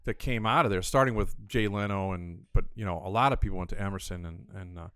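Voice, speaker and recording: A man's voice: low voice, quick pace, a bit bright, clear, resonant tone, no echo. Older man chatting, dry close sound. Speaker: comedy festival founder and comedian, podcast guest. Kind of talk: casual conversational chat.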